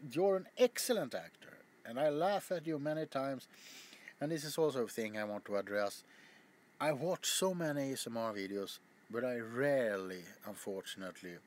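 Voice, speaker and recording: A man chatting casually to his viewers as a video host, rambling with frequent stammers, restarts and casual swearing. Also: mid-range voice. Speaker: ASMR YouTuber.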